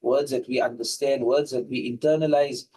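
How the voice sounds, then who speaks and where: A man's voice: medium pitch, steady tone, moderate pace, warm tone. A man hosting a religious discussion, speaking in rhetorical, parallel phrases as he gives devotional remarks. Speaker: host. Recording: distant mic with some background noise.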